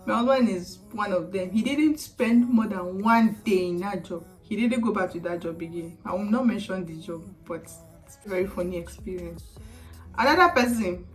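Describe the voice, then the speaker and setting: A woman in her thirties from Nigeria with a Nigerian accent, chatting casually to camera as a vlogger, animated, laughing often and slipping into Nigerian Pidgin phrases. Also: mid-range voice. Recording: heard from a distance, with faint music.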